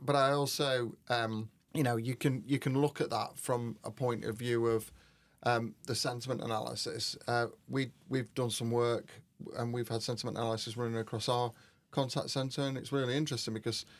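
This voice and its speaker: A man's voice: mid-range voice, steady tone, moderate pace. A man hosting an interview for a housing association, affirming his guest and talking loosely with frequent repeats. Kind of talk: conversational interview.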